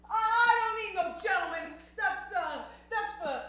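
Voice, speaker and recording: A woman's voice: very high-pitched, moderate pace, bright, forceful tone. A woman playing a tough, brash gunslinging cowgirl, brassy and slangy, in an improvised Western radio comedy. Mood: defiant. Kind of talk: improvised old-time radio comedy acting. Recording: echoey stage hall.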